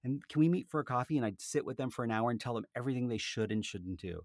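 A man speaking as a podcaster and author, telling things in an animated, conversational way. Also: mid-range voice, quick pace, dry recording, clean studio sound. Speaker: podcaster and author, interview guest. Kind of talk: casual conversational interview, with a read-aloud book passage.

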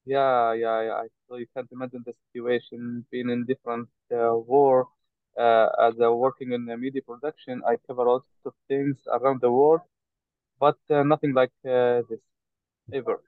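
Man, from Saudi Arabia, halting speech full of pauses, interview guest, crisp voice, phone-quality audio. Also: medium pitch, level tone, no room sound. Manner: halting, frequent hesitations. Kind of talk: interview over a call. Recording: tinny choppy call line.

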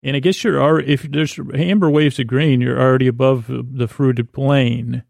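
A man hosting a sleep podcast with a meandering, halting, whimsical bedtime monologue in a gravelly, creaky voice. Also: medium pitch, fairly even intonation, darkish tone, dry recording, clean studio sound. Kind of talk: rambling improvised bedtime monologue.

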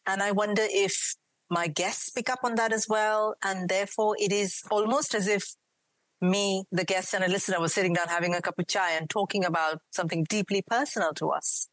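A woman's voice: medium pitch, steady tone, fairly quick, dry recording, rough recording. Earnest Indian-accented woman, dry sound. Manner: earnest, flowing, emphatic. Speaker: podcaster guest. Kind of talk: reflective interview conversation.

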